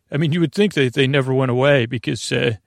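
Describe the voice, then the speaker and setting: Creaky-voiced man rambling sleepily. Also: medium pitch, brisk, dry recording, studio quality. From United States. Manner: rambling, full of filler words and tangents. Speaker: podcast host. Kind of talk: meandering sleep podcast monologue.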